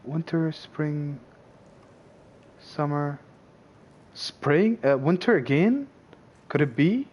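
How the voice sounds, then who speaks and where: A man commentating his own play, thinking aloud, excitable and swearing often. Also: fairly high pitch, extremely slow, long pauses, breathy edge, dull audio. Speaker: game streamer. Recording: loud game music behind.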